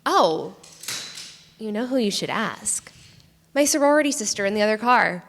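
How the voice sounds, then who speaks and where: A woman's voice: medium pitch, expressive, normal speed. An actress playing a chatty, brash young college woman in a live staged play reading. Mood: eager. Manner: eager, foul-mouthed, yells across the room. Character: chatty, brash college woman who loves murder podcasts. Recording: echoey theatre hall, live stage.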